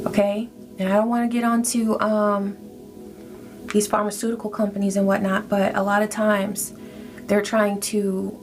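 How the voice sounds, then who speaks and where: Female tarot reader, gravelly mid-range voice, reassuring check-ins, music underneath. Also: mid-range voice. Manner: reassuring, repeats "okay?" often. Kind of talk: casual spiritual tarot reading.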